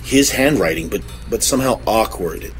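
An actor playing a shaken, grave man making a halting, brooding confession in a horror audio drama. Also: lowish voice, animated, soft background music, lightly acted. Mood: haunted.

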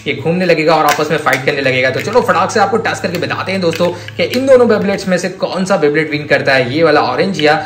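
Excitable Indian man in an echoey room. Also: on the high side, a little fast, few pauses. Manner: excitable, repetitive, gushing. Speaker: toy review host. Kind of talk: enthusiastic toy demonstration commentary. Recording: echoey room with faint noise.

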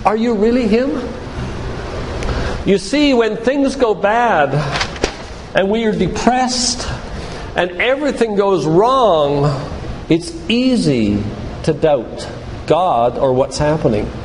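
Older male lay preacher, high-pitched, instructive storyteller. Reverberant church hall recording with noticeable noise. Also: expressive, slow pace, long pauses. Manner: didactic storyteller, addresses listeners directly. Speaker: physician giving a guest talk at a church. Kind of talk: sermon-like lecture retelling Bible stories. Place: large room, church.

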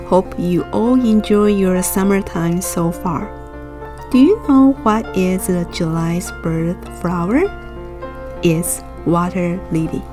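This is a young woman from Taiwan, speaking as she walks viewers through her watercolor process. Her delivery is unhurried and soft, her voice set slightly low, with faint music playing beneath the narration. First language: Mandarin. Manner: gentle, unhurried explaining. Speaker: watercolor art channel host. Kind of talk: calm voice-over narration for a painting tutorial.

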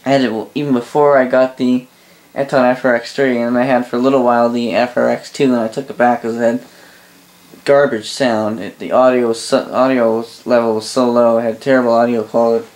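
A man giving a casual, unscripted product review in a rambling, halting way, often correcting himself, recorded indoors. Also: mid-range voice, flat delivery, darkish tone. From Canada. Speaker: host of a radio gear review channel. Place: indoor room full of radio gear.

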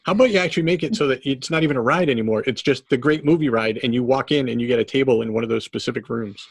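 Fast-talking gravelly man on a podcast. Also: mid-range voice, no room sound. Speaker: podcast co-host. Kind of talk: casual chat. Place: home room, video call. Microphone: headphones and desk mic.

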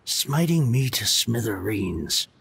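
An actor playing a melodramatic, embittered bookish man down on his luck in a video game, theatrical and exasperated, with a gravelly, husky voice. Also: mid-range voice, big pitch swings, takes it slowly, fairly bright tone, a little hiss or hum, full character voice. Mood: exasperated, lamenting. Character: melodramatic, embittered book-loving man fallen on hard times.

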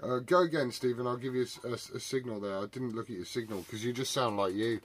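A man's voice: mid-range voice, fast. A British man bantering casually as a CB radio operator, in an informal manner. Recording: CB radio chatter with light hiss.

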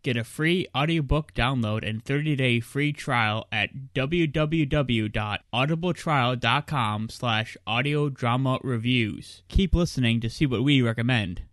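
A man's voice: medium pitch, unhurried, hardly any pauses, fairly bright tone, no echo, clean studio sound. A man hosting a podcast, reading a scripted sponsor message. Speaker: podcast host.

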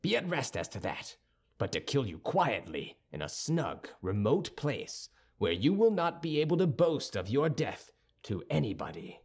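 A man voicing a haughty, sardonic young musketeer in an audiobook, formal, measured and condescending. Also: medium pitch, big pitch swings, darkish tone, slightly rough, heavily acted. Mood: sardonic, composed. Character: cool, haughty young musketeer with churchly airs, dryly sardonic. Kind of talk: audiobook character dialogue.

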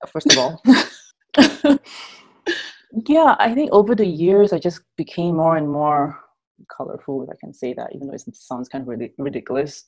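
Low-voiced giggly woman on a video call. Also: normal speed, pauses often, breathy edge. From Malaysia. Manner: relaxed, giggly, chatty. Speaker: guest content creator. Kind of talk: casual video call interview. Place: small bright room at home. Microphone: earphone mic on a video call.

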